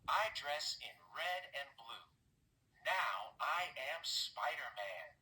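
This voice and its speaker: A man reading a children's picture book aloud in the first person, with expressive, animated delivery. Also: mid-range voice, takes it slowly, soft background music, rough recording. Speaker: storybook reader.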